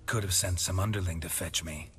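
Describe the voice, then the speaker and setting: An actor playing a stoic, battle-worn monster hunter, terse and dryly witty, in a fantasy video game. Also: male voice, deep voice, brisk, slight background noise. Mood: dry, guarded. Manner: terse, deadpan. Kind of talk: dramatic video game dialogue.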